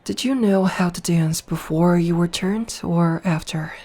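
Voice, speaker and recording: A woman voicing a haughty, seductive noble vampire princess in an ASMR roleplay, teasing and condescending with a hushed, breathy delivery. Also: lowish voice, unhurried. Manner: teasing, condescending, softly purring.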